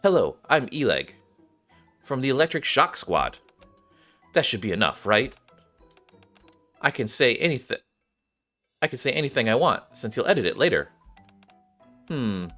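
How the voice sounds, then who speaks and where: A man's voice: mid-range voice, big pitch swings, unhurried, pauses often, sharp tone, soft background music, voice pushed up, exaggerated voice. A man voicing an eager, cheeky young gamer girl in read-aloud game dialogue, chatty in manner.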